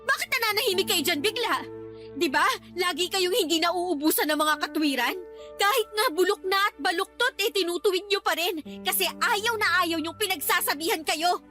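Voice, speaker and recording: Shrill, fed-up Filipino daughter. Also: female voice, normal speed, pushed and bright. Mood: angry, hurt. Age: young adult. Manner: indignant, reproachful. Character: fed-up, outspoken working adult daughter. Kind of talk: Tagalog radio drama acting. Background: background music.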